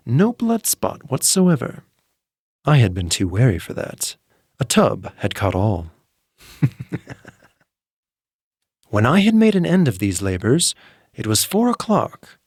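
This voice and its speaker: A man narrating a classic horror tale, moving between calm menace and frantic intensity. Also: mid-range voice, dry recording, studio quality.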